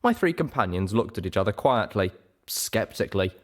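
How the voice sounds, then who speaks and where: A man reading a story aloud as an audiobook narrator, in an expressive scripted style. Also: on the low side, normal speed. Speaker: audiobook reader.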